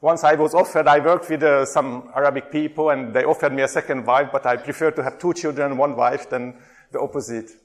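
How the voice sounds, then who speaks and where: A man's voice: mid-range voice, fairly even intonation, brisk. A middle-aged man with a Hungarian accent giving a workshop talk, hesitant and chatty, putting questions to the audience. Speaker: workshop presenter, theology lecturer.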